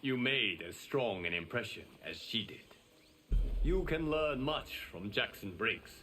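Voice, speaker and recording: An actor playing a stern, wise, commanding martial mentor in a fighting video game. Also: male voice, medium pitch, animated, slow pace, bright, forceful tone, faint music behind. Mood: stern counsel.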